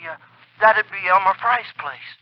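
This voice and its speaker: An actor playing an uneasy, folksy farmer, halting, on a telephone in a 1940s radio drama. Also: male voice, sits high. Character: rustic farmer, uneasy, plain-spoken country man. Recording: muffled old radio telephone call.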